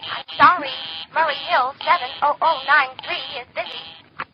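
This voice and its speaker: A muffled old radio recording with prominent music behind a telephone operator. Her voice is very high. She is clipped, formal and detached in 1940s drama style. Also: moderate pace, creaky. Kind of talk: 1940s radio thriller acting.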